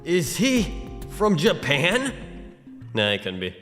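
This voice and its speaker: A man's voice: fairly high pitch, wildly swooping pitch, slow, fairly bright tone, soft background music, heavily acted. A man voicing a hapless, broke young man lost in another world, dramatic and self-pitying, in an anime parody dub. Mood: baffled.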